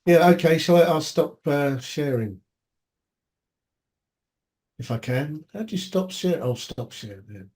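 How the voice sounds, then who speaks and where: A man's voice: a little high, expressive, unhurried, long pauses, a little mellow. Muttering man thinking aloud on a call. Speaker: meetup attendee. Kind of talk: casual video call chat. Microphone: video call.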